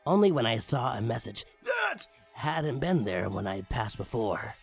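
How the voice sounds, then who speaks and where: A man's voice: mid-range voice, huge pitch swings, unhurried, creaky, slightly rough, faint music behind. A man giving a comedic, mock-dramatic creepypasta narration with crude, swooping emphasis. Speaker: narrator, online story reader.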